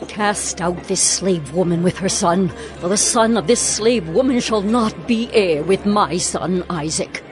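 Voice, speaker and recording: An elderly matriarch of scripture drama, played by a woman, imperious and emphatic. Her delivery is slow and deliberate, with music behind it. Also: on the low side, lightly acted. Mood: stern, demanding. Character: Sarah, elderly new mother, imperious matriarch. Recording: background music under the voice.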